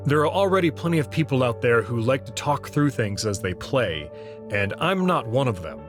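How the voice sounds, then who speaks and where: Man with a lively, measured presenting voice. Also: medium pitch, over music. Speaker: host of a visual novel playthrough series. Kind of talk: scripted narration, channel introduction.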